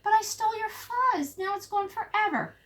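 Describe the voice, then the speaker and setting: A woman's voice: very high-pitched, lively intonation, normal speed, a bit bright, voice pushed up. A woman voicing a big, boastful male prairie dog in a children's storybook, with an emphatic, barking delivery. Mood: sorry.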